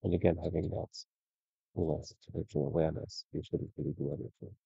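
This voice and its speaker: A man giving a relaxed, conversational screen-share review of trading charts, often ending points with "right?". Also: deep voice, fast, creaky, breathy edge, no room sound, highs cut off. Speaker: trading educator.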